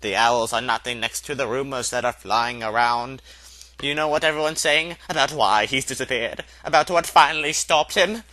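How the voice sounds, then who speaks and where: A man's voice: mid-range voice, normal speed, pushed and bright, no echo, close to an everyday voice, poor audio. A man voicing a severe, impatient older woman, a witch professor in a storybook, with a clipped, scolding manner. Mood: urgent.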